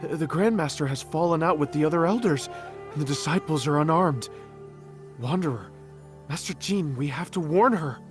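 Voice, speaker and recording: An actor as a higher-voiced, anxious loyal companion. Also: male voice, moderate pace, soft background music, close to an everyday voice. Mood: worried. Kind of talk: dramatic video game acting.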